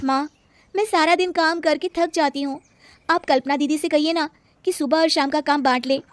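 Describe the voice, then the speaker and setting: A woman voicing a dutiful, tired young daughter-in-law in an animated story, speaking softly. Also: high-pitched, moderate pace, dry recording, close to an everyday voice. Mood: weary complaint.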